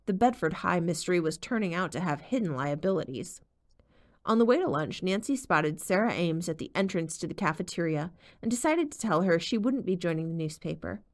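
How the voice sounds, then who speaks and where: Woman audiobook narrator, lower voice, expressive storytelling. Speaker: audiobook reader.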